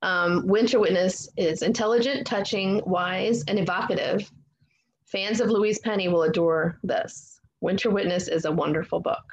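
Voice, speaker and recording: A woman's voice: medium pitch, normal speed. A woman hosting an interview show in a casual, conversational style, recorded in a roomy space with background noise.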